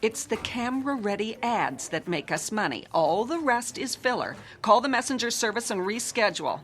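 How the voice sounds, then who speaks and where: An actress playing a bossy magazine managing editor in a radio drama, curt, commanding and sarcastic. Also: female voice, a little high, big pitch swings, normal speed, a bit bright, a little hiss or hum. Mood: angry, scornful.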